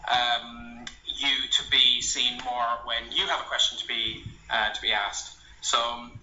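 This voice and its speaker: A man, a language blogger, casually walking through an app on his phone, relaxed and meandering with lots of ums and uhs. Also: lowish voice, slight background noise. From Ireland. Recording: roomy, slightly dull home recording.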